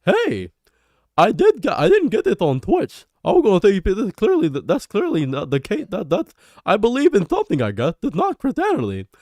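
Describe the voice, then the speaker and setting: A man doing a high-pitched joke voice, nasal and playful, during a live stream chat. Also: huge pitch swings, fairly quick, darkish tone, dry recording, clean studio sound, exaggerated voice.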